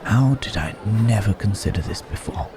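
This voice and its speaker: A man's voice: fairly low pitch, expressive, moderate pace, natural voice. A man playing a courteous, wary city-bred werewolf in an ASMR roleplay, hesitant and musing with old-fashioned wordy phrasing. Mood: delighted realization. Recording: market crowd and outdoor ambience noise. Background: bustling village market ambience.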